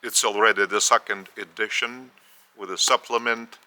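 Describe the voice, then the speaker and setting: A man with a Lithuanian accent, whose first language is Lithuanian, giving a spiritual teaching in a measured way, often repeating and restarting phrases. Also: lowish voice, steady tone, sharp tone, low-quality recording. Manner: measured, with repetitions and careful restarts. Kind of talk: slow spoken teaching.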